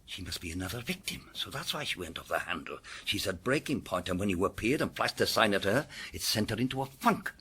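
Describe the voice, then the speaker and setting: Husky commanding British police inspector. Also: male voice, lowish voice, big pitch swings, fairly quick, hardly any pauses, sharp tone, heavily acted. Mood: alarmed. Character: excitable commanding senior police inspector, alarmed and grave. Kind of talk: melodramatic period detective radio drama.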